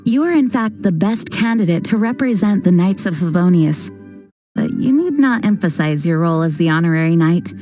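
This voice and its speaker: Actress as a composed female commander in a video game; mellow, measured and formal. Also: fairly low pitch, expressive, soft background music, natural voice. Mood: calm. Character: calm dutiful female knight leader, composed. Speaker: knight commander.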